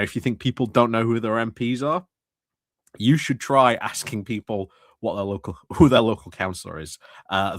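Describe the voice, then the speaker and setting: A man's voice: on the low side, no room sound, studio quality. A man giving a casual, rambling livestream monologue about local politics, full of fillers, restarts and little chuckles. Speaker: political commentary streamer.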